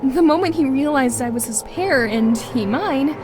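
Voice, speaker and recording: A woman voicing a loyal, earnest young female student in a visual novel, gently insistent and reassuring. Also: sits high, expressive, normal speed, voice pushed up. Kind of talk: visual novel character acting. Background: music.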